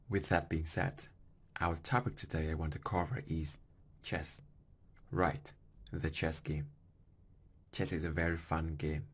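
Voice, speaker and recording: Vietnamese guy in his 20s, super low voice, talks slow and halting, solo podcast. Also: husky. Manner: careful, halting non-native English with small grammar slips. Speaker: podcast host.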